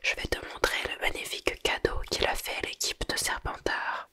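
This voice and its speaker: A storyteller whispering a novel aloud in French in a breathy, unvoiced ASMR style, measured, with acted dialogue. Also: sharp tone. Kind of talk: whispered audiobook reading, ASMR.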